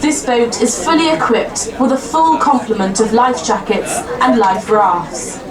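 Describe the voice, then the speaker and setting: A woman giving a recorded safety announcement on a boat in a measured, formal way. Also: fairly high pitch, roomy sound, crowd noise, rough recording.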